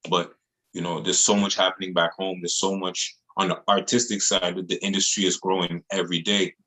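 A man with a deep, low-pitched voice. He talks like an artist in a relaxed interview, earnest and slangy, circling back over his phrases as he makes a point. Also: a little fast, rough recording. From Montreal, Canada. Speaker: music artist being interviewed. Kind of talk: casual interview chat.

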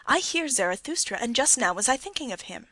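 A woman's voice: a little high, big pitch swings, a little fast, sharp tone, no echo, pitched above the performer's own voice, heavily acted. A woman reading the part of a troubled, despairing young man in an audiobook, pleading and impassioned. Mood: anguished.

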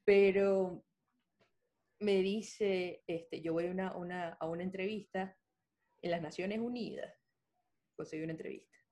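A woman's voice: lowish voice, measured pace, pauses often. A young adult woman telling stories in a casual chat, full of fillers and restarts. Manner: animated storytelling, many fillers.

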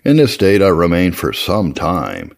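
A man reading an old religious memoir aloud as scripted narration, in a measured, formal and solemn manner. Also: sits low, huge pitch swings, creaky. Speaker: audiobook-style reader of historical religious readings.

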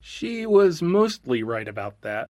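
A woman voicing a calm, matter-of-fact man who used to be a building inspector, in an audiobook. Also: deep voice, a little slow, no room sound, pitched below the performer's own voice. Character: diplomatic dry-witted male former building inspector. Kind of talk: audiobook character voice.

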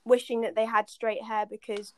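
A young woman with a high voice, speaking emphatically in a casual conversation. She gets indignant and drives her point home with pointed rhetorical questions. The recording is dry and close. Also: level tone, moderate pace, a little mellow, no echo. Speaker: discussion participant. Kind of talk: casual discussion.

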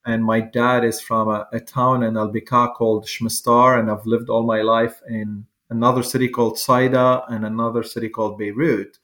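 A man's voice: medium pitch, little pitch movement, moderate pace, few pauses, fairly bright tone. A man, a physician guest speaking in a wry, conversational way. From Lebanon. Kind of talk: panel interview answer.

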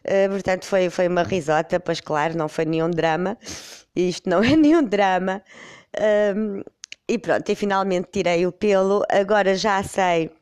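A woman's voice: fairly low pitch, normal speed, a little mellow, clear, resonant tone, no echo, clean studio sound. A middle-aged woman with a European Portuguese accent, congested with a cold, telling a personal story in a rambling, amused way on her podcast. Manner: rambling, self-correcting, amused. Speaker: podcast host.

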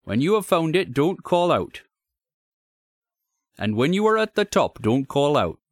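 A man voicing a troubled, courteous railway signalman in a storybook, speaking gravely and haltingly with deference. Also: fairly high pitch, sing-song, dry recording, clean studio sound, voice pushed up. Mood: solemn, insistent.

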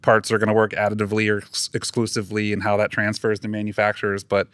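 A man's voice: lowish voice, monotone, fast, fairly bright tone, no room sound. A man who works as a game artist, chatting casually on a podcast and thinking aloud as he goes.

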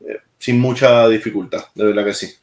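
A man's voice: fairly low pitch, fairly even intonation, normal speed, darkish tone. Puerto Rican man in an echoey room. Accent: Puerto Rican Spanish. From Puerto Rico. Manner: direct, plain-spoken, with hesitant restarts. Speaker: military musician, interview guest. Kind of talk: casual interview talk.

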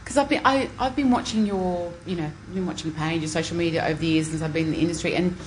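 A woman's voice: on the low side, fast talker. Chatty Australian woman podcast host, noisy background. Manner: informal, effusive. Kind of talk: casual chatty interview.

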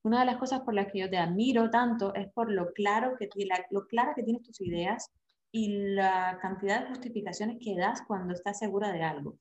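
A woman's voice: mid-range voice, moderate pace, a little mellow, highs cut off. A woman hosting an interview, asking questions in a casual, admiring way.

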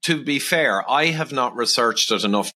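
A middle-aged Irish man speaks calmly and with measure, but his pitch moves a lot and his tone is bright and forceful. He sounds reasonable and sensible throughout. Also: normal speed. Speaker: podcast guest. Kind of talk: casual podcast conversation.

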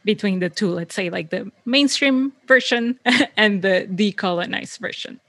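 A woman hosting an interview, warmly engaged and affirming, searching for words as she frames a question. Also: mid-range voice, unhurried, no echo. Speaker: interviewer, host. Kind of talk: conversational interview.

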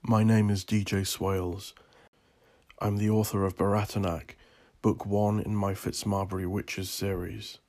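A man from Northern Ireland narrating his own scripted story as an author, in a brooding, solemn, deliberate and theatrical manner. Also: on the low side, level tone, darkish tone, faint music behind.

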